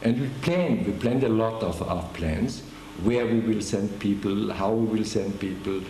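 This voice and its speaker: Older man recalling, halting and echoey. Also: fairly low pitch, mellow, slight background noise. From Germany. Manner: halting, many restarts and fillers. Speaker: veteran interviewee. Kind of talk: oral history interview.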